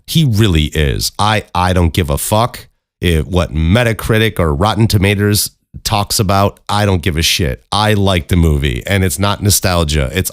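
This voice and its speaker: A man's voice: low-pitched, no echo. A man hosting a solo podcast in a casual, rambling, informal way, close to the microphone. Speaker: podcast host.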